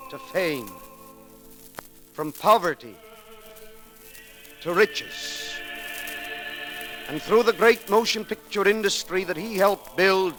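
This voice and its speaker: A man delivering a solemn, oratorical eulogy in formal measured phrases on a 1930s radio recording. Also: sits high, a bit bright. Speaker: eulogist at a memorial service. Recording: 1939 radio recording with faint music.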